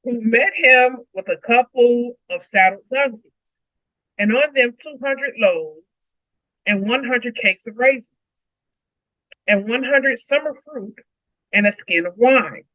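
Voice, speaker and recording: A woman's voice: a little high, takes it slowly, long pauses, no room sound. A woman co-hosting a Bible read-along, speaking informally in casual chat and reading aloud, on a muffled home stream mic.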